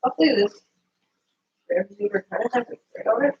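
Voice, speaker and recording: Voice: high-pitched, very animated, fairly quick, gravelly, slightly rough, muffled recording. A streamer who is starting a cold and sounds sniffly, chatting casually while wrapping gifts at home and rambling apologetically to the viewers and to themself. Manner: rambling, apologetic, talks to self. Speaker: streamer and writer. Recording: noisy home recording.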